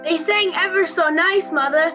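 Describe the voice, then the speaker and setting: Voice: extremely high pitch, moderate pace. A performer playing a sweet, frail, innocent little boy, earnest and childlike in a dramatic reading. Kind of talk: dramatic reading of a Victorian Christmas story. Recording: muffled, music behind.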